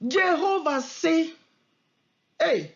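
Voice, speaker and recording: A woman's voice: very high-pitched, lively intonation, extremely slow, gravelly. A woman with a Nigerian Igbo accent preaching and praying in a fervent prophetic style, emphatic and repetitive, switching between Igbo, English and Pidgin. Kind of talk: fervent prophetic sermon and prayer. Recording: dull lo-fi audio.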